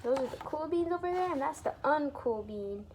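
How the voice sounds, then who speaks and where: A woman with a high voice reads a children's storybook aloud in a teacherly way. Her delivery is very lively and expressive, swinging up and down as she addresses young listeners. There is some noise in the recording. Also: normal speed. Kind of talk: animated read-aloud for young children with asides explaining words.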